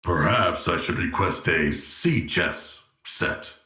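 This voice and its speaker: An actor voicing a hulking humanoid shark villain in a video game, erudite and gentle yet proud, speaking in formal, measured, deadpan phrases. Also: male voice, sits low, echoey room, heavily acted. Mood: solemn, proud. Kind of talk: video game character voice lines.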